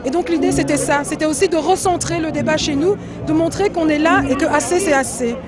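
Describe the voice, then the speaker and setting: A woman's voice: high voice, a little fast. A woman organizer explaining the aims of a protest, with hesitant fillers. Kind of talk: interview answer. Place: outdoors at a rally. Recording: music and crowd behind.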